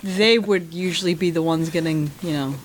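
A woman from Massachusetts, a horror filmmaker and writer, chatting as an interview guest and telling animated, profane stories with frequent laughs. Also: on the low side, normal speed, a little hiss or hum. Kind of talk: casual interview chat.

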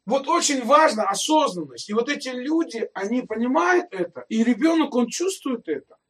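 A man preaching with a high-pitched voice, talking to his congregation as if in conversation and putting questions to them. He sounds some way off the microphone, so the voice is a little distant. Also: big pitch swings, moderate pace, a bit bright. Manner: conversational preaching, addressing listeners directly with rhetorical questions. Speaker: pastor. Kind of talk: sermon.

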